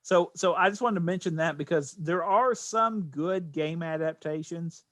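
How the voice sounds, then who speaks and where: A man's voice: fairly high pitch, moderate pace, fairly bright tone, no room sound, studio quality. A man co-hosting a podcast, chatting casually about games and films in an enthusiastic, emphatic way. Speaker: podcast co-host.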